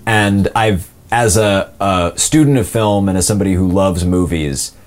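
A young man talks through interview answers in a relaxed, meandering way, circling back with fillers and false starts. His voice sits very low. He is an actor and co-producer describing his work. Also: moderate pace. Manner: rambling, many fillers and restarts.

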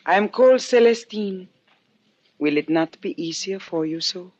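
An actress playing a proud, grieving poet's wife with a Spanish accent and formal theatrical phrasing in a 1950s radio drama. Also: female voice, on the low side, expressive, normal speed, a little mellow. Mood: guarded, brittle. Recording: dull echoey vintage radio recording.

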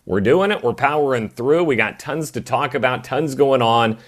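A man hosting live sports talk radio, fighting a voice that is going, talking in restarts and quick asides. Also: mid-range voice. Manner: animated, restarting phrases. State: losing his voice. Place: radio studio.

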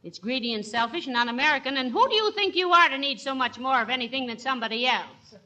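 A woman playing a sensible, reproving wife in a 1940s radio comedy, speaking briskly. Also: sits high, animated, bright, forceful tone. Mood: scolding. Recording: old radio broadcast with studio audience.

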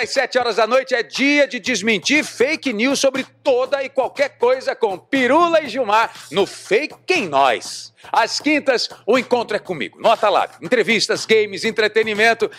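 A man reading an upbeat promo for a show lineup. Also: high-pitched. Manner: bright, lively, upbeat delivery. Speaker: show announcer. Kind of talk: energetic promo read.

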